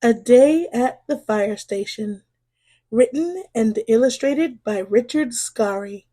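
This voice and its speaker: A woman reading a children's story aloud in an expressive way, drawing out key words for emphasis. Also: a little high. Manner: animated, drawn-out emphasis on exclamations and key phrases.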